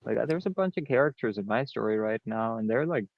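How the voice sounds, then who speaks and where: Danish guy, low gravelly voice, light accent, laid-back chatty guest, dull dry lo-fi audio. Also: expressive, brisk, no echo. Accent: Danish-tinged English with American influence. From Denmark. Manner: laid-back, rambling, says "dude" and "like". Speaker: podcast guest. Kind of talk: casual online group chat.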